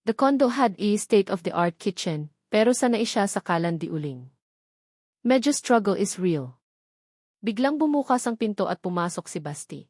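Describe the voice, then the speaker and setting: A woman storyteller narrates a romantic audio drama in scripted, dramatic style. Her medium-pitched voice sits in a dry recording with no room sound. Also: sing-song, moderate pace, darkish tone, no room sound.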